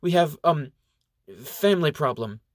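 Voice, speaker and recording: A man's voice: on the high side, lively intonation, a little slow, no echo, studio quality, voice pushed up. A man reading a storybook voices a worried young female fire elf who speaks broken English with a foreign lilt. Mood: earnest, anxious.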